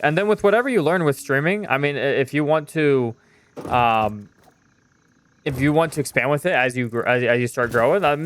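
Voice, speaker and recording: Smooth-voiced young man chatting on stream. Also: mid-range voice. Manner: rambling, loose and frank, with lots of "like" and swearing. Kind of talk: casual live-stream chat while gaming.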